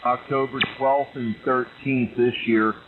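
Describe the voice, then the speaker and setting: Muffled ham radio signal with static noise, man, lowish pitch, casual on-air chat. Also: little pitch movement, measured pace. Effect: ham radio transmission. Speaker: amateur radio operator.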